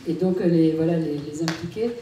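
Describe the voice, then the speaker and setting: A woman with a low, mellow voice speaks on a panel, a little halting. She sits well away from the microphone. The hall rings with echo. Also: slight background noise. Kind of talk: conference panel talk. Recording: echoey hall, speaker far from mic.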